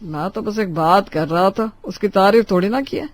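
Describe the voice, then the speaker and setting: A man's voice: high-pitched, normal speed, close to an everyday voice. Teasing middle-aged father-in-law, acted out.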